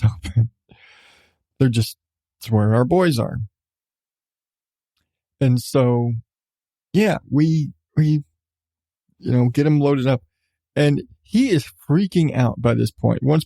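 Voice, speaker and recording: Male host, thirties, mellow with vocal fry, casual profane storyteller who repeats phrases for emphasis. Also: mid-range voice, no echo. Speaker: podcast host.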